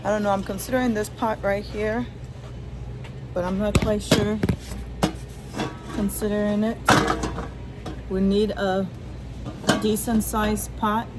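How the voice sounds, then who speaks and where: A woman's voice: medium pitch, flat delivery, slow, long pauses. Chatty woman vlogging in a noisy store. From Liberia. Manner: chatty, talks straight to viewers, says "y'all" often. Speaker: family vlogger. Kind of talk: casual shopping vlog. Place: inside a large store.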